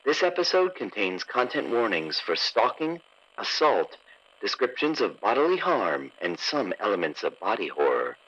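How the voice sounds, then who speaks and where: A man's voice: on the low side, very animated, normal speed, fairly bright tone, slightly rough, highs cut off. A man reading a scripted podcast introduction as announcer, formal and theatrical.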